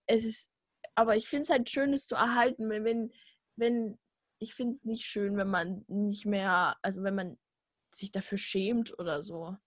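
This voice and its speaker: A woman's voice: medium pitch, fairly even intonation, measured pace, no room sound. A young woman from the Vogtland with a light regional accent, chatting casually and enthusiastically, often restarting sentences. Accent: Vogtland Saxon. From Vogtland, Saxony. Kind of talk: casual chat.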